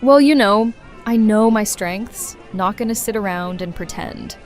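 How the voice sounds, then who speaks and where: A woman's voice: medium pitch, measured pace, faint music behind, natural voice. A woman playing a brash, affectionate, wisecracking best friend in a conversational audio drama. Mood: casual banter.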